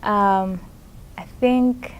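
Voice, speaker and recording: A young woman from Zambia, a master's student in plant science, answering interview questions in a hesitant, soft-spoken way with frequent fillers. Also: medium pitch, steady tone, drawn-out words, clean tone, lo-fi sound. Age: young adult. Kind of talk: conference interview, casual answers.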